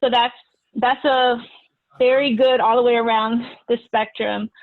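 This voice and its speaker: High-voiced woman on a tinny call. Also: level tone, measured pace. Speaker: workshop facilitator. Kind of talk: leading a discussion. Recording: dry, tinny phone-like audio.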